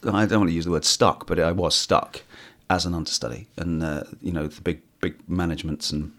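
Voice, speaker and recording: Male actor, interview guest, very low husky voice, meandering self-deprecating delivery. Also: fairly even intonation, brisk, fairly bright tone. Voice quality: hoarse, husky when tired. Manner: rambling, restarts often, self-deprecating. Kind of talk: casual interview chat.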